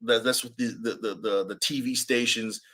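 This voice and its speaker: A man with a raspy voice hosting a sports fan podcast, chatting casually and repeating himself for emphasis. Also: mid-range voice, normal speed. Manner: animated, repeats himself, reads chat comments aloud. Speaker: podcast host. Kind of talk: casual live-stream chat.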